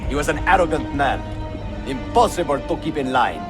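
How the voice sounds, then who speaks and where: A calculating royal villain played by a man with a slightly high voice, measured and lofty. He stays quietly menacing while game music plays clearly behind him. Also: expressive, lightly acted. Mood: weary, lofty. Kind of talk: dramatic video game cutscene acting.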